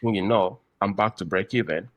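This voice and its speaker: A man hosting a casual podcast interview, speaking in halting, deliberate phrases. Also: lowish voice, dry recording. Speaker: podcast host, trader.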